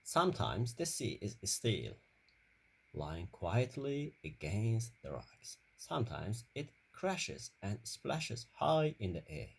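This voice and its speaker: A man reading a children's story aloud in careful, deliberate short phrases. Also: fairly low pitch, big pitch swings, creaky, slightly rough.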